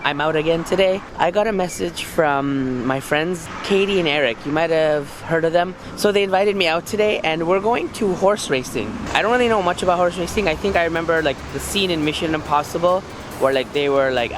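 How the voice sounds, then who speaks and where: A young man with a hoarse voice from a cold, chatting loosely through a travel vlog with lots of fillers. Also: a little high, noisy background. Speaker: vlogger. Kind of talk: casual travel vlog narration.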